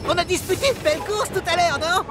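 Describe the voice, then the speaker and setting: A woman's voice: very high-pitched, quick pace, over a noisy crowd. A woman voicing an eager young boxcar engine. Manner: bubbly and excitable. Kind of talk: cartoon dub acting. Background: music.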